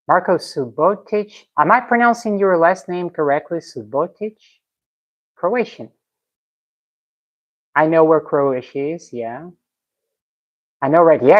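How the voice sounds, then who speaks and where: A young woman from Russia, a native Russian speaker, chatting casually on a live stream and reading out and answering viewer comments. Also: deep voice. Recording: slightly dull lo-fi stream audio.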